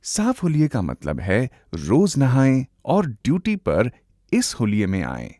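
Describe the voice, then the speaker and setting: A man's voice: mid-range voice, huge pitch swings, measured pace, mellow, no echo. A man narrating a scripted instructional film, emphatic and instructive, addressing viewers directly on a close, dry microphone. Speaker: narrator, presenter.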